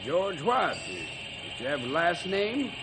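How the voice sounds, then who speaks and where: An actor playing a brisk, blunt, folksy small-town gas station owner in old-time radio style. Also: male voice, a little high, huge pitch swings, exaggerated voice. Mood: suspicious, dismissive. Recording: noisy old radio recording.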